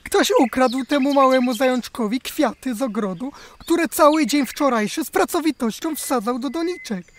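Woman as a courtly, bold hare, higher voice, faint outdoor noise. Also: moderate pace, hardly any pauses, natural voice. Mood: gallant. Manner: courtly, theatrical. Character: gallant brave male hare. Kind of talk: children's radio play acting.

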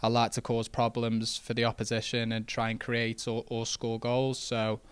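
Flat-voiced young footballer. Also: male voice, medium pitch, moderate pace, clean tone, no room sound. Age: young adult. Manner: relaxed, modest, many fillers and restarts. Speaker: footballer after a match. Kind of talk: post-match interview. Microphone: handheld interview mic.

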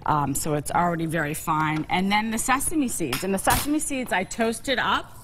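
A woman's voice: mid-range voice, animated, moderate pace, background noise. A middle-aged woman guest chef giving a cooking demonstration on a TV show, friendly and explanatory with frequent fillers. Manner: friendly explanatory, with many "um"s and "you know"s. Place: TV studio kitchen set.

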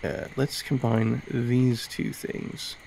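Gravelly man, casual gameplay commentary. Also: medium pitch, little pitch movement, takes it slowly, darkish tone, slight background noise. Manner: musing, thinking aloud. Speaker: game streamer reviewing indie games.

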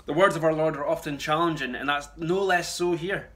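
A man's voice: fairly high pitch, fairly quick, a bit bright. A man in his thirties, a pastor giving an earnest, explanatory sermon in a conversational style. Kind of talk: sermon, conversational address to camera. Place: small bright room at home. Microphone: phone a short distance away.

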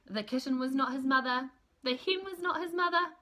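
Bright-voiced woman reading a children's story. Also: high voice, a little hiss or hum. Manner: expressive, deliberate, emphatic with repetition. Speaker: teacher reading aloud. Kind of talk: children's storybook read-aloud. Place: small room at home, indoors.

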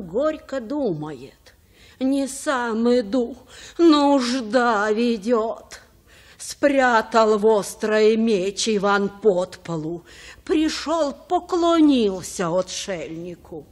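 An actress playing a gentle old grandmother, telling folk tales in a measured, sing-song chant. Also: on the high side, animated. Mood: solemn storytelling. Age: older. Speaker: storyteller. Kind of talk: folk verse tale, sing-song storytelling.

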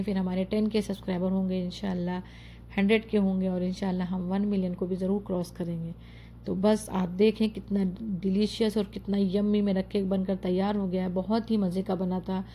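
A woman's voice: medium pitch, dark tone, slight background noise. A woman narrating her home cooking tutorial, chatty and full of praise for the dish, giving viewers step-by-step tips. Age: adult, mother of children. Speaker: home cook and channel host.

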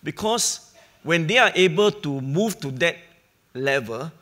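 A middle-aged man with a slightly raised pitch speaks on a charity panel at a conference. He talks earnestly and measuredly, pausing and restarting his sentences. He is picked up by a lapel mic on stage. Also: expressive, bright, forceful tone. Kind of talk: panel discussion.

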